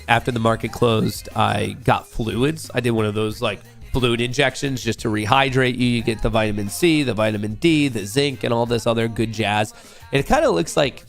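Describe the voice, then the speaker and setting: Slightly nasal man with a gravelly edge. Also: medium pitch. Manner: loose, joking, talks straight to the chat. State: getting over a cold. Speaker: livestream host. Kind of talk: casual livestream chat.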